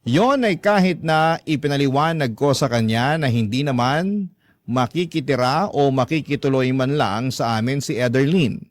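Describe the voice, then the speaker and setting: A man's voice: mid-range voice, animated, moderate pace, dry recording. A man narrates a personal love story from a script, as if telling it to a radio host. His voice sits in a middle register and the recording is dry and close.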